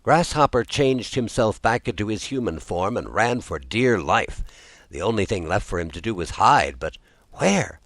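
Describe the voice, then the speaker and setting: Male storyteller for scripted folk tale narration, expressive, wide-ranging intonation, dry sound. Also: lowish voice, normal speed, no echo.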